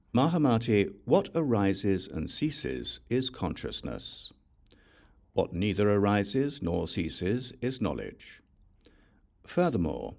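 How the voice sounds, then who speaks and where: A man narrating a Buddhist scripture as an audiobook, solemn, measured and formal in his delivery. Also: deep voice, darkish tone.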